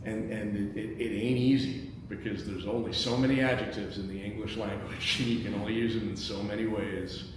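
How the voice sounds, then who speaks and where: A man's voice: lowish voice, steady tone, brisk, hardly any pauses. American guy from chicago hosting a casual panel, repeats words a lot, super echoey room, noisy. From Chicago, United States. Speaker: host, screenwriter. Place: echoey indoor room at a table read event. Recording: echoey room, distant mic, clear background noise.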